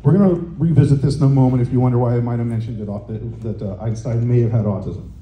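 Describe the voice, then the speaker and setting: A middle-aged man giving a conference talk on a handheld microphone in a hotel meeting room, loud and booming, with many fillers and restarts. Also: quick pace. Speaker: conference presenter. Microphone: handheld microphone through room speakers. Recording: echoey conference room, faint noise.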